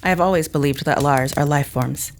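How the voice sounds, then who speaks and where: A woman's voice: sits low, brisk, a little hiss or hum. A woman playing a reserved, rational psychic mother in an audio drama, speaking formally and precisely. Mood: calm, guarded.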